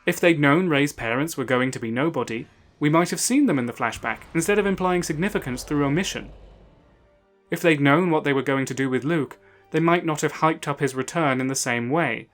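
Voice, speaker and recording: A man hosting a scripted video essay, narrating in a measured, analytical and argumentative way. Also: fairly high pitch, faint music behind.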